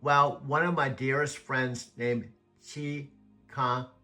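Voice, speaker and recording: A man's voice: mid-range voice, level tone, slow, bright, forceful tone, soft background music. A man with an American accent hosting a video, chatting in a rambling, folksy storytelling way. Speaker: video host. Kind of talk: casual chat.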